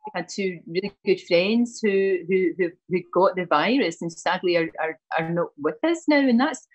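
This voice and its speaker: Scottish woman, TV host, husky voice, effusive and chatty. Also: lowish voice, moderate pace, lo-fi sound. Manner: warm, effusive, repeats words. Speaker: morning TV host. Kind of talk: TV interview chat.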